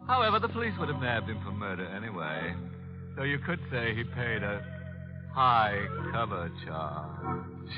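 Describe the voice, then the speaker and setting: A man's voice: fairly low pitch, very animated, unhurried, music in the background. A man playing a macabre, wisecracking storyteller who hosts an old radio horror show in a mock-sinister way. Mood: gleefully sardonic. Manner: sardonic, punning, mock-sinister. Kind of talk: 1940s radio horror hosting. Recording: dull old radio recording.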